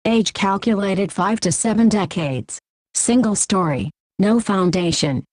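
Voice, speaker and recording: A woman voicing a clinical AI implant that reports data in a flat, factual, clipped manner for an audio story. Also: medium pitch, a little slow, no room sound, lightly acted. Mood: impassive. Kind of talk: scripted audio story character.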